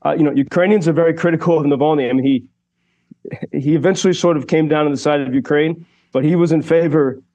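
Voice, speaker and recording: A man's voice: a little high, fairly even intonation, fast, warm tone, muffled recording. Man reporting live by radio line. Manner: thoughtful, many fillers and restarts. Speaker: radio correspondent. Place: Kyiv.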